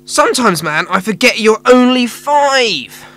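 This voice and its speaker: A woman's voice: on the high side, very animated, a little slow, fairly bright tone. A woman playing a cocky, laid-back magical talking duck in a radio drama, with slangy delivery. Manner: slangy, dude-ish, carefree.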